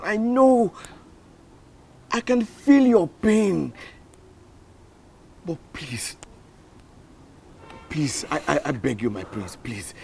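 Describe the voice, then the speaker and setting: Husky, slow, pleading royal attendant. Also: male voice, extremely high pitch, exaggerated voice. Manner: pleading, halting, repetitive. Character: humble, anguished male royal attendant pleading with his prince. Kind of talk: melodramatic film acting.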